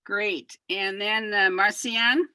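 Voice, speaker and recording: Slow, bright-voiced woman running a video call. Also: mid-range voice, steady tone, clear, resonant tone, dry recording. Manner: calls on participants by name, upbeat prompts. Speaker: workshop facilitator. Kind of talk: online meeting facilitation.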